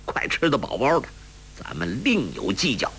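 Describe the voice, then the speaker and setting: A man voicing a gruff, crude old male beggar master who mocks and scolds in a storytelling audiobook. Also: mid-range voice, wildly swooping pitch, moderate pace, fairly bright tone, a little hiss or hum, voice pushed up. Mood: gruff scolding. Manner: rough, mocking, coarse and laughing. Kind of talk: audiobook character voice.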